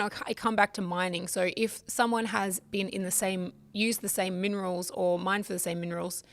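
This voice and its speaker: A woman hosting a lawyer's channel, giving numbered tips in a clear, measured talk to camera. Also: fairly low pitch, a bit bright. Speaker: migration lawyer, show host.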